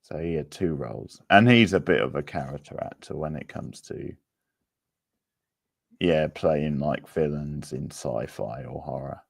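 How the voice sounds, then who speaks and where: A man's voice: very deep voice, a little slow, creaky, no room sound, studio quality. A man hosting a casual quiz, chatting and answering participants as he reads out questions. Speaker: quiz host.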